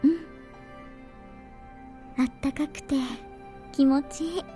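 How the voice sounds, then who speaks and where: Woman acting a high, mellow, frail girl. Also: fairly even intonation, moderate pace, lightly acted. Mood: content. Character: frail, gentle ailing girl, quietly cheerful. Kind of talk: Japanese visual novel voice acting. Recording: game music behind the voice.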